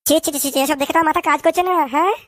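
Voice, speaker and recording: An actor performs a boastful, hot-headed son in a comic cartoon. The voice is high and very fast, excitable and full of repeated bluster. It is recorded very dry. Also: no room sound. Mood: vengeful. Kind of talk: comic dialect cartoon dubbing.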